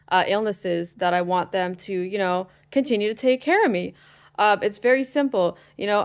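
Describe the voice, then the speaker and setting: A woman's voice: lowish voice, creaky, smooth. A woman vlogging to camera, stressed and exasperated, venting with frequent swearing. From United States. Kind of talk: ranting to camera.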